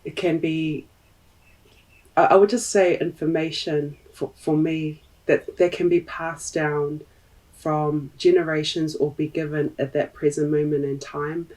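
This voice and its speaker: Slow, thoughtful New woman. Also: sits low, flat delivery, darkish tone, recorded from a distance, poor audio. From New Zealand. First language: Tongan. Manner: thoughtful, pausing often with fillers. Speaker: podcast guest. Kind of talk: reflective interview talk.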